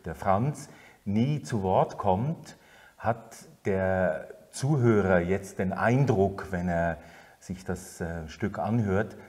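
A middle-aged man explaining his theatre company's radio drama project in a relaxed making-of interview. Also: low-pitched. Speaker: theatre producer. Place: studio with concrete walls.

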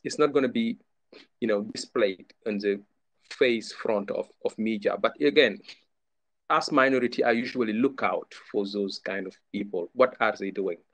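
A man, a researcher and educator on a panel, encouraging students over a video call in a pleading way. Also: fairly low pitch. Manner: encouraging, pleading, with many fillers. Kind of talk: panel discussion answer.

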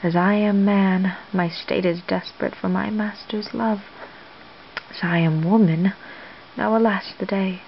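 A woman playing a troubled young woman disguised as a page in a soft, hushed, wistful Shakespearean monologue. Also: mid-range voice, steady tone, measured pace, gravelly. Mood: rueful. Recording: dull lo-fi home recording, faint hiss.

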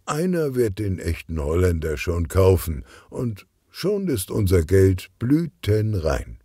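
A man voicing a confident, amused female crime boss in a children's audiobook, self-assured and smooth in manner. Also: low-pitched, sing-song, takes it slowly, mellow, dry recording, clean studio sound, heavily acted. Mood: smug, amused.

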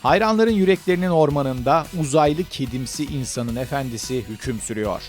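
A man's voice: mid-range voice, a little fast. Male scripted countdown narrator, faint music behind.

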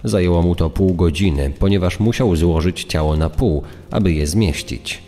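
A man's voice: low-pitched, normal speed, warm tone, soft background music. A man reading a scripted true-crime account, with long reverb around his voice. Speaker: narrator.

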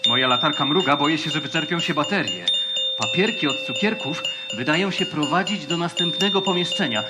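A man's voice: on the high side, expressive, fairly quick, lightly acted. A man playing an eager, naive and timid junior space officer in a children's audio play, hesitant and blustering. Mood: nervous. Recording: music under the voice.

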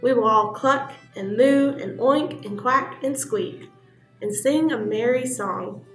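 A woman's voice: on the high side, takes it slowly, roomy sound, distant mic, soft background music. A woman voicing a jolly, good-natured old male duck in a bedtime story, hearty and polite. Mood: cheerful.

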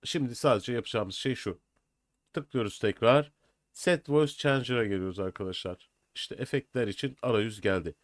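An older man presenting a software tutorial in an informal, step-by-step way. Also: mid-range voice, moderate pace, dry recording, studio quality. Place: small indoor room at a desk. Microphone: USB computer microphone.

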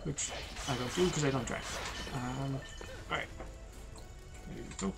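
A tired young man, losing his voice, giving low-energy, rambling commentary over a video game. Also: a little slow, vocal fry, over loud music. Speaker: gameplay commentator. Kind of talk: casual let's-play commentary.

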